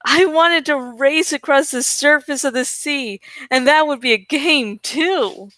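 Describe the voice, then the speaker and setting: A woman's voice: sits high, measured pace, bright, forceful tone, dry recording. A woman reading a first-person story aloud expressively, drawing out words for dramatic emphasis. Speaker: amateur audiobook reader.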